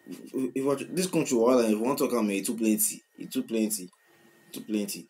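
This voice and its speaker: A man in his twenties with a Nigerian accent, reacting to a song in casual commentary, swinging from loud outbursts to quiet mumbling, recorded on a webcam in a small room. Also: medium pitch, a little fast, slight background noise. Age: 20s. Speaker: music reaction host. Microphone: webcam at desk, wearing headphones.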